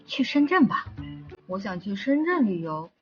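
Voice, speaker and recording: A woman's voice: mid-range voice, sing-song, normal speed, lightly acted. A woman voicing a cartoon office worker who wants a break, in a language-lesson dialogue. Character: cartoon woman tired of work, planning a trip. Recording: slightly dull audio under music.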